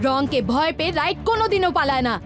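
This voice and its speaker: A woman's voice: sits high, animated, quick pace, over music. A woman voicing a brave, confident boy superhero in a kids' cartoon, speaking firmly and earnestly. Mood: determined, confident. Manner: firm, earnest, declarative.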